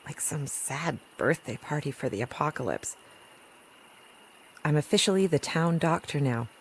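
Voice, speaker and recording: An actress playing a weary, dry, no-nonsense rural doctor in an audio drama. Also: female voice, deep voice, slight background noise, low-quality recording. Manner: dry, deadpan. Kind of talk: audio drama acting with recorded diary narration.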